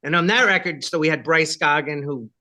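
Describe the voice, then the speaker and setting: A rock singer with a high voice, chatting casually in an interview and rambling with many fillers and asides. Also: male voice, a little fast, sharp tone.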